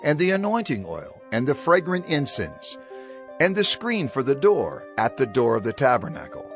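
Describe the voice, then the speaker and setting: An actor playing a male prophet who solemnly instructs his people with deliberate, declamatory phrasing in a dramatized scripture reading. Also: medium pitch, huge pitch swings, a bit bright, soft background music. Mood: solemn instruction.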